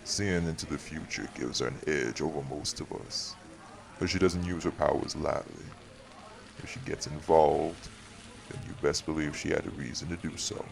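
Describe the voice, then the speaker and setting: A man playing a weary, wry, protective vampire city leader in an audio roleplay, speaking in a measured, dry, teasing way. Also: very deep voice, fairly even intonation, vocal fry. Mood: wry, fond. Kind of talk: audio roleplay drama acting.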